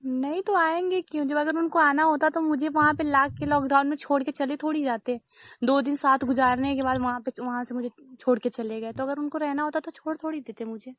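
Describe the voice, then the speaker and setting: A woman's voice: high-pitched, fairly even intonation, fairly quick, a bit bright. A woman chatting casually and privately on a recorded phone call, coy and hesitant.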